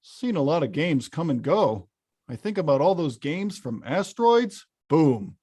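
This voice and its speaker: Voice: mid-range voice, big pitch swings, measured pace, warm tone, husky. An actor playing a huge, hot-tempered, weary arcade-game villain who confides wryly and grumbles conversationally in a table read. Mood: resigned, wistful. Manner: conversational, grumbling, bursts into bellows. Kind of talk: table-read acting, confessional voice-over.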